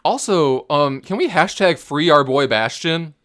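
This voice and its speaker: A man's voice: fairly high pitch, animated, measured pace, a bit bright. A man co-hosting a casual gaming chat, explaining things in a loose, hesitant way with frequent asides. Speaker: podcast co-host.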